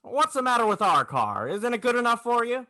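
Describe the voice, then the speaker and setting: An actor playing an agitated small-town family man in 1940s radio drama, halting and stammering as he talks. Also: very high voice, big pitch swings, bright, forceful tone, dry recording, heavily acted. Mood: irritable, frantic. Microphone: close, dry video-call microphone.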